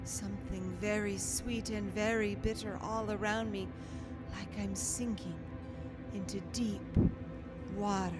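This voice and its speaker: A woman's voice: mid-range voice, steady tone, measured pace, natural voice. An actress playing a frail, frightened young woman with melodramatic, breathless delivery in a radio horror drama. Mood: frightened, fading. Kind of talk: old-time radio horror drama acting. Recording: live radio play under loud music.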